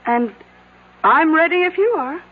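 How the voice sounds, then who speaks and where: A woman's voice: high-pitched, expressive, unhurried, soft background music. An actress with an American accent playing an earnest, plucky small-town woman in an old-time radio drama, on a narrow, dated recording. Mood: determined.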